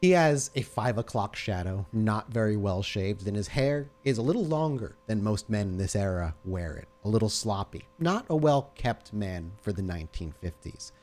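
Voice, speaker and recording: A man working as game master, narrating a tabletop roleplaying scene in a deliberate, descriptive and dramatic way. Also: fairly low pitch, lively intonation, a little mellow.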